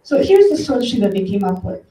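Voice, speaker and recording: A woman's voice: mid-range voice, very animated, fast talker, dark tone. Woman giving an informal talk, roomy sound. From Ethiopia. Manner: conversational, anecdotal, addresses the audience directly. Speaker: conference speaker, tech company director. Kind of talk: informal public talk with storytelling. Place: event room, before an audience. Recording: roomy, distant mic, faint background noise.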